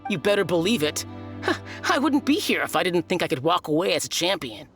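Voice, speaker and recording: A smug, self-satisfied video game contestant who boasts about being perfect. Her voice rises and falls lively over soft game music. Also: lowish voice, fairly quick.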